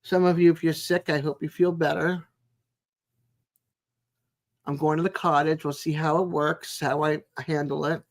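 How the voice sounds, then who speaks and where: A woman in her sixties chatting casually as a live stream host, in a rambling, chatty way with frequent pauses. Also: low-pitched, little pitch movement. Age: 60s. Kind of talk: casual chat with live viewers.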